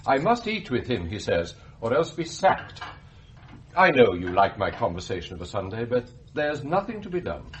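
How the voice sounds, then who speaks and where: Very expressive, prim English butler. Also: male voice, medium pitch, slight background noise. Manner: formal, prim, measured. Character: fussy, proud English butler, outwardly deferential, inwardly scheming. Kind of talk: British radio play acting.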